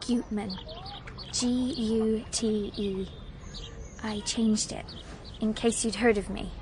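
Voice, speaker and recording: High-voiced sweet young heiress. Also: female voice, fairly even intonation, slow pace, noisy background. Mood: earnest. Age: young adult. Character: sweet, devoted young heiress in love, eager and earnest. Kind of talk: radio play acting.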